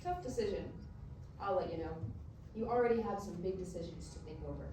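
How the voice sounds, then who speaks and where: A woman's voice: lowish voice, animated, a little fast, dark tone, natural voice. A woman playing a caring but pushy long-distance girlfriend in a staged musical reading, earnest and conversational. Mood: tender, earnest. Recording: very echoey hall, distant mic, noisy.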